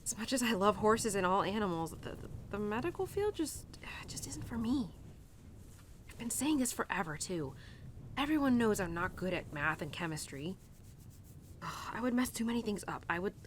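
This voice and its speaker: A woman's voice: mid-range voice, a little hiss or hum, close to an everyday voice. A young woman playing an earnest, rambling cowgirl who confides in her horse in an intimate roleplay audio, hesitant and giggly, with stammers and restarts. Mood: frustrated, self-doubting.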